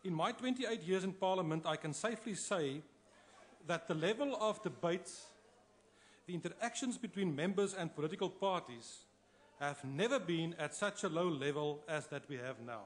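A man's voice: high voice, lively intonation, normal speed. A South African man, a long-serving member of parliament, giving a combative, emphatic debate speech at a podium microphone in a large chamber. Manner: combative, emphatic, repeats for force.

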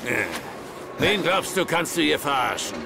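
Radio drama heavy: burly sneering thug with a knife, gravelly, taunting, higher-set voice. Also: male voice, sing-song, moderate pace, background noise. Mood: menacing, scornful. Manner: mocking drawl, menacing, taunting. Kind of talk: German radio drama acting.

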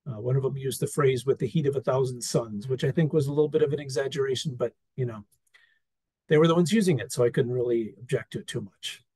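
Husky-voiced man giving a relaxed slide talk. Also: medium pitch, fast, no echo. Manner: relaxed and wry, with frequent fillers and restarts. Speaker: presenter sharing an experience report. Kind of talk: conversational talk over slides. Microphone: video call.

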